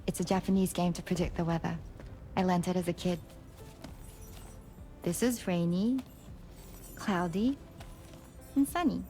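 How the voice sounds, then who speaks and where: An actress playing a gentle, hopeful young woman in a video game cutscene, speaking softly in short phrases. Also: lowish voice, measured pace, pauses often, faint music behind. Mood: wistful, hopeful.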